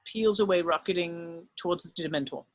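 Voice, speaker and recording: A man's voice: a little high, a little fast, no echo. A man narrating scripted stage directions at an amateur drama table read.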